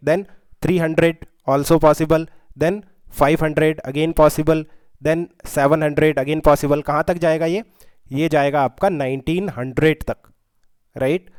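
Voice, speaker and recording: A man's voice: fairly high pitch, darkish tone, clear, resonant tone, dry recording. A man with an Indian accent working through a problem step by step as a tutor, explaining and often checking in with short questions. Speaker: physics tutor. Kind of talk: step-by-step problem-solving lesson, mixing two languages.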